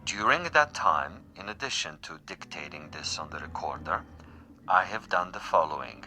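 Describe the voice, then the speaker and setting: A man's voice: sits low, expressive, normal speed, sharp tone, faint music behind, exaggerated voice. An actor playing an urbane, sadistic assassin who taunts his prey in formal, precise, theatrical phrases in a suspense radio drama. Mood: coolly menacing.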